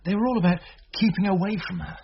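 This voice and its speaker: A man's voice: sits high, quick pace. Nervous young man, muffled and noisy. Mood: uneasy. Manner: hesitant, stammering. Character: nervous, defensive young man under questioning. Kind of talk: radio drama acting. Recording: slightly muffled, clear noise behind.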